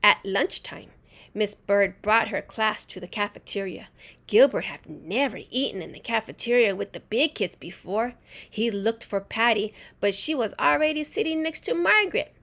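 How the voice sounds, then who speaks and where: A woman reading a children's storybook aloud in an expressive, deliberate teacher's way. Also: fairly high pitch. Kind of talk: animated storybook read-aloud.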